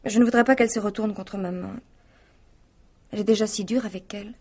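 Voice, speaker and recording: An actress playing a dreamy, affectionate nineteen-year-old niece in a French radio mystery, speaking with earnest, tender eagerness. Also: female voice, medium pitch, fairly even intonation, fast, mellow, husky, natural voice. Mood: pleading, earnest. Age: young adult.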